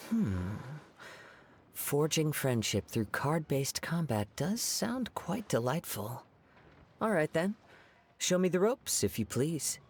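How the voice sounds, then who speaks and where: A man voicing a calm young wandering samurai in an anime game, with gentle, polite, poetic phrasing. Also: a little high, slow pace, slight background noise, exaggerated voice. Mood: thoughtful, polite. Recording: music behind the voice.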